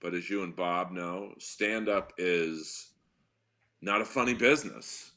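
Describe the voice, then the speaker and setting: A man with a deep voice chats loosely as a podcast guest. His tone is low and bright, and he tells long, wry stories with a dry edge. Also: slow. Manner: wry, rambling storyteller. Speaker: guest comedian. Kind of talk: casual podcast chat.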